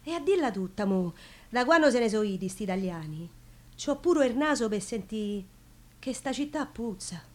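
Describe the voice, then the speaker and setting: A woman's voice: fairly high pitch, lively intonation, moderate pace. An actress playing an outspoken, devout working-class Roman woman, telling her story in colloquial dialect in a theatre monologue. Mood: indignant, frank. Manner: plain-spoken, colloquial, confiding.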